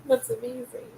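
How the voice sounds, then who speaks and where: A woman's voice: high-pitched, creaky, background noise. A woman hosting a casual late-night livestream from a home room lit by fish tanks, talking in a meandering, hesitant way. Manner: meandering and hesitant, many fillers and trailing thoughts. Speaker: livestream host.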